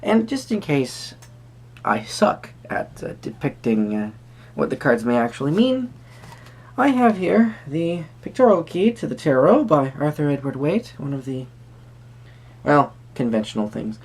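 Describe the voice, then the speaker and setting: A performer playing a quirky, friendly tarot reader at a Renaissance fair, speaking to the viewer in halting, theatrical phrases. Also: medium pitch, expressive, darkish tone, husky. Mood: whimsical, musing. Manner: hesitant, theatrical, halting phrasing with sudden emphasis. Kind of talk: improvised first-person roleplay addressed to the viewer.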